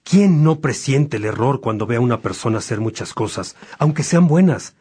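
A man playing an earnest, reflective bishop in a religious radio drama, speaking in a measured, pastoral way. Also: mid-range voice, lively intonation, mellow, breathy edge. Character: Saint Augustine, earnest reflective bishop among friends.

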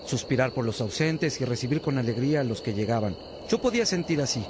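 An actor playing an earnest, attentive disciple of a priest, speaking in a measured way in a religious radio drama. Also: male voice, mid-range voice, expressive, noisy background, natural voice. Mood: earnest reflective.

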